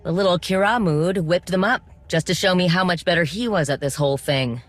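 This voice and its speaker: An actress playing a determined, wry droid mechanic in a video game, speaking informally with coaxing, teasing encouragement. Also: female voice, on the low side, fairly quick, soft background music, close to an everyday voice. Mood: wry, explanatory.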